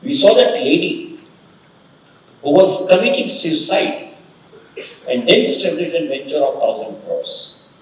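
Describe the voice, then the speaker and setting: A man hosts a corporate summit and introduces the next speaker. His voice is high and lively, and he speaks slowly and emphatically. He is heard from far off in a very echoey hall. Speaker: event host introducing the speaker. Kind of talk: motivational introduction speech. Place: large conference hall.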